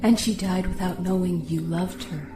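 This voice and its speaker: A woman playing a gentle, probing companion heard in the dark, speaking in an earnest, measured way in an audio drama. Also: fairly low pitch, steady tone, natural voice. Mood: gentle, reassuring. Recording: echoey, with music underneath.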